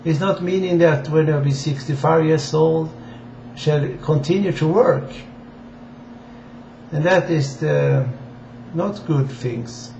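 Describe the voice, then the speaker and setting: Slow-talking Swedish man, echoey dull recording. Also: on the high side, vocal fry, dark tone. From Sweden. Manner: halting, deliberate delivery in non-native English. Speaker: amateur political campaigner addressing viewers. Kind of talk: informal political address to camera. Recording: dull, echoey room with faint hiss.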